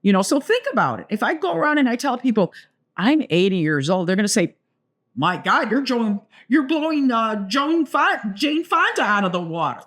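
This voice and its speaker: A woman's voice: fairly high pitch, very animated, moderate pace, fairly bright tone. A woman in her seventies co-hosting a podcast, chatting casually and telling stories with playful asides. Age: 70s. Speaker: podcast co-host. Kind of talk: casual chat.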